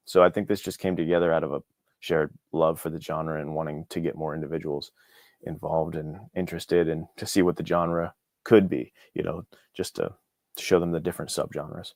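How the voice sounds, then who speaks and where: A man's voice: extremely low pitch, fairly even intonation, a little fast, a little mellow, no echo, studio quality. A man talking casually and informally as an interview guest, with an enthusiastic manner. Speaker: guest, indie author.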